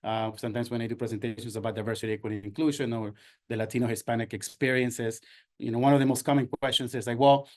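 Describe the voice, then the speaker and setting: A man's voice: mid-range voice, steady tone, fast, darkish tone. A man in his forties, born in Ecuador, presenting a workshop in an earnest way with frequent fillers and restarts. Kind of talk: presentation talk.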